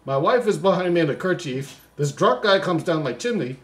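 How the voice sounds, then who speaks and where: Man playing a goofy, baffled reader with swooping pitch. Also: lively intonation, fairly quick, fairly bright tone. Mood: bemused. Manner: bewildered, slangy, mock-indignant muttering. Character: Floyd, goofy, baffled, easily sidetracked male storybook reader. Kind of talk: comic poem reading with rambling asides.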